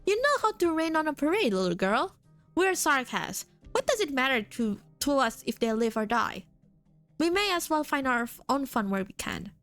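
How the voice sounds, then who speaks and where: Woman doing a mean bossy merc soldier from a game, pretty high voice, bit of music behind. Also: sing-song, normal speed, fairly bright tone, full character voice. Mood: scornful. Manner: mocking, bossy. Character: gruff callous male mercenary soldier. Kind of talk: reading game dialogue on a live stream.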